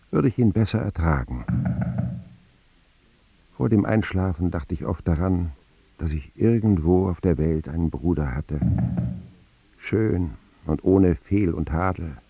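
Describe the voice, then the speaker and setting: Deep, creaky reflective narrator. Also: male voice, no room sound, muffled recording. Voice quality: deep, gravelly. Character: grown Momo, reflective man recalling his lonely boyhood. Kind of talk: scripted first-person radio drama narration.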